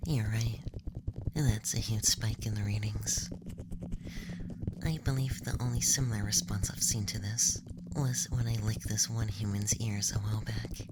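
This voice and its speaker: A man playing a curious young alien examiner in a whispered ASMR roleplay, speaking in measured, scientific phrases close to the microphone. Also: lowish voice, steady tone, heavy background noise, close to an everyday voice. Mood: matter-of-fact.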